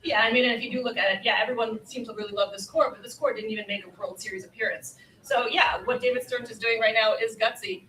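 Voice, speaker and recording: A woman's voice: fairly high pitch, steady tone, fast, few pauses, pushed and bright. A woman giving opinionated, conversational sports analysis as a panelist at a live event. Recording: echoey, distant mic, faint room noise.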